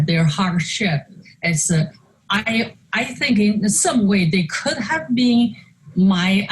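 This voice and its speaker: An older woman whose first language is Chinese, speaking English slowly and haltingly with many fillers and restarts as she presents her artwork in a video call talk. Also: fairly low pitch, slight background noise, low-quality recording. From China. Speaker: artist. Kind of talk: virtual artist talk presenting slides.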